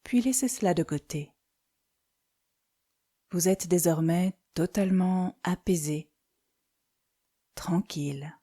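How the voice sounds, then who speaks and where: A woman leading a guided yoga nidra meditation as an instructor, speaking calmly and soothingly in short phrases with pauses. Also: on the low side, breathy edge.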